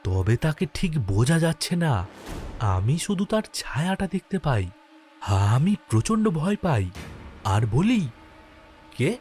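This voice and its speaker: Man playing a jittery postmaster, animated, swooping delivery. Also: fairly high pitch, measured pace, long pauses, faint music behind. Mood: tense. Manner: suspenseful, theatrical. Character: jittery postmaster narrating his own haunting. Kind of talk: dramatic horror cartoon narration.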